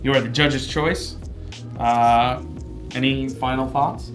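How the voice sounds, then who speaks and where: A man's voice: medium pitch, steady tone, slow pace, fairly bright tone, soft background music. A man speaking as host and judge of a casual debate show, moderating in a chatty style.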